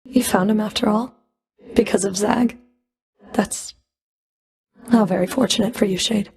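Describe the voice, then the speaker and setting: A woman's voice: mid-range voice, steady tone, a little slow, a little mellow, natural voice. A woman playing a stern, sardonic underworld fury in a video game, speaking in a cool, clipped, dry and commanding manner. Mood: soft, restrained. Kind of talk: video game character voice lines.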